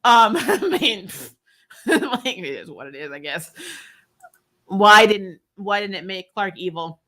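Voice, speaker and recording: A woman with a bright, forward voice hosts a casual recap stream. She talks in a chatty, slangy way, as if to regular viewers. Her pitch sits in an ordinary middle range for a woman. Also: lively intonation, takes it slowly. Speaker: host of a TV recap show. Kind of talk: casual livestream review chat, reading viewer comments.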